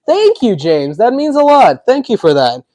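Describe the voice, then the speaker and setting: A man's voice: extremely high pitch, wildly swooping pitch, moderate pace, warm tone, no room sound. Chatty man with a slight Russian accent. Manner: chatty, laughs often, answers chat comments. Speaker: livestream host. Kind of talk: casual livestream chat while building.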